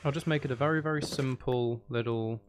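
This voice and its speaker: A man with a gravelly voice giving casual, rambling, sweary commentary as he thinks aloud while playing a video game. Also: medium pitch, brisk, background noise. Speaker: gaming video host.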